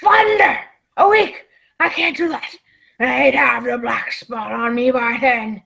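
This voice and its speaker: A woman playing a gruff, frightened old male pirate captain with gravelly, rustic sailor speech in a radio play. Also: on the high side, slow, a bit bright, heavily acted. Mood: alarmed. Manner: rustic sailor dialect, dramatic.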